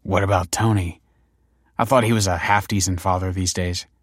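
A man's voice: low voice, fairly even intonation, moderate pace, no echo, clean studio sound. A man playing a weary, evasive husband in a narrated story, placating and defensive. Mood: reluctant. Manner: hesitant, placating.

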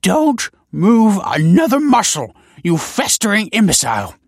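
Shrill, pompous middle-aged scholar. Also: male voice, huge pitch swings, slow, a bit bright, slightly rough, no echo, clean studio sound, heavily acted. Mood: panicked outrage. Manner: haughty, spluttering, ornate scolding. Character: pompous, indignant middle-aged male scholar, skinny and rigid. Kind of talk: audiobook character voice.

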